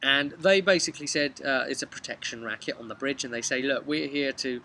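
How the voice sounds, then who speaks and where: Bright-voiced young British man, casual storyteller. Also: mid-range voice, a little fast. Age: young adult. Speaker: game master recapping a tabletop role-play session. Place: outdoors on a bench beside a brick house. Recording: noisy outdoor recording with traffic ambience.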